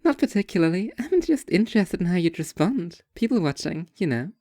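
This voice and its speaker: A woman's voice: on the low side, sing-song, brisk, warm tone, no echo, clean studio sound. A woman playing a blunt young waitress in an audio story, casual, teasing and sarcastic. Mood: needling.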